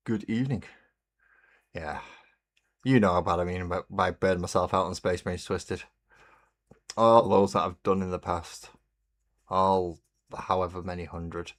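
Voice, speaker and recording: A man hosting a relaxed hobby livestream, chatting in a rambling way and greeting viewers while he paints. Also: sits low. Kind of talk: casual chat while painting. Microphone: desk mic on a webcam stream.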